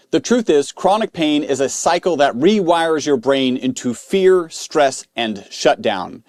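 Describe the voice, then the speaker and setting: A man's voice: a little high, a little mellow, dry recording, clean studio sound. A man hosting a podcast, introducing the show and guest and asking interview questions. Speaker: podcast host.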